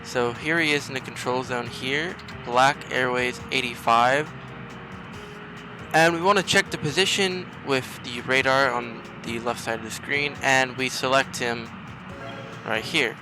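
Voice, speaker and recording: A man's voice: mid-range voice, a little slow, music in the background, low-quality recording. A man hosting a gaming video, explaining a game step by step in tutorial-style commentary.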